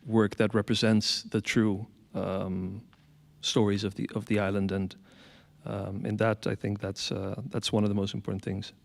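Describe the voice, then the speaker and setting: A man from the Caribbean speaking as an artist on a panel, measured with many pauses and ums. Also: fairly low pitch, little pitch movement, creaky, in a large hall. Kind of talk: panel talk.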